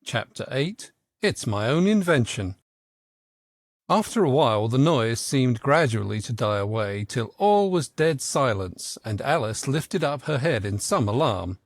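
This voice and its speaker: A man narrates a children's storybook with a highly animated, expressive delivery. His voice sits at a middle pitch and moves around a lot. The recording is very dry. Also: measured pace, no echo. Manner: expressive, theatrical. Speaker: audiobook narrator.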